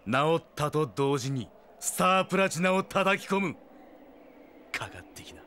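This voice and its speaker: An actor playing a tough, cool, vengeful young fighter in a Japanese audio drama, terse, taunting and quietly menacing. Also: male voice, a little high, expressive, a little slow, a little hiss or hum. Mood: fierce, vengeful.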